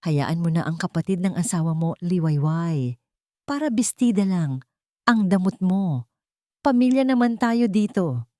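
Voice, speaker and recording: A grudging older Filipino mother-in-law, acted by a woman narrator in a family drama. She scolds and dismisses in a domineering way. The delivery is animated and recorded dry. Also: on the low side, unhurried, dry recording. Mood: scolding. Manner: scolding, unenthusiastic.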